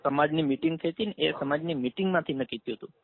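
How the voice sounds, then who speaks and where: Fast-talking Gujarati man on a tinny phone line. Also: on the high side. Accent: Gujarati, Saurashtra. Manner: insistent, explains step by step with rhetorical questions. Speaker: community trust trustee. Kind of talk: explanatory phone conversation. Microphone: telephone. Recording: tinny, narrow phone call recording.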